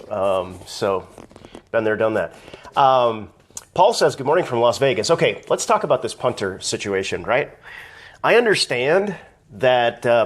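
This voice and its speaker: Male stream host, slightly raspy from allergies, chatty and ranting, greets viewers by name, casual webcam delivery. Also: medium pitch. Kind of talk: casual live video chat.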